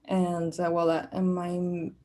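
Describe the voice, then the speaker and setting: A young Peruvian woman with a Spanish accent answers interview questions in English, her second language. Her voice sits a little low and stays flat, with a mellow, darker tone. She hesitates often, restarting phrases and filling pauses with "uh" and "um.". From Peru. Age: young adult. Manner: hesitant, many fillers and restarts. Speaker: job candidate. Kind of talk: practice job interview.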